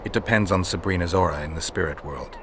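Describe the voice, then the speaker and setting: Man acting a gruff, deep, stoic monster hunter. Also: quick pace, noisy background, natural voice. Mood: calm, instructing. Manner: terse, flat, matter-of-fact. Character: stoic, world-weary male monster hunter, gruff and dry. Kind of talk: video game acting.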